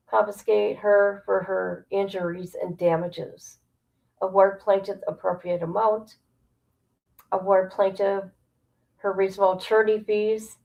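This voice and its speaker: Woman livestream host, unhurried and creaky-voiced, blunt and gossipy casual commentary. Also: mid-range voice, a little mellow, rough recording. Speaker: livestream host reacting to a document. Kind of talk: casual livestream commentary, reading aloud and reacting.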